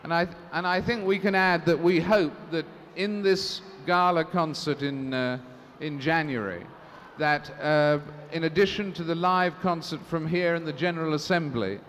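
A man's voice: fairly high pitch, fairly even intonation, moderate pace, hardly any pauses, a bit bright. A man moderating a press conference, speaking formally and measuredly, with frequent restarts and fillers. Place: large assembly hall. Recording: reverberant hall, faint noise.

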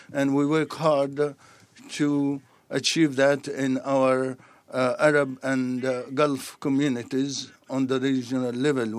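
Slow, measured male interpreter. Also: mid-range voice, no echo. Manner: measured, pausing between phrases.

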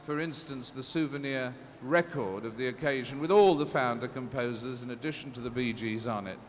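A man's voice: mid-range voice, brisk. A man moderating a press conference, speaking formally and measuredly, with frequent restarts and fillers. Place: large assembly hall. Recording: reverberant hall, faint noise.

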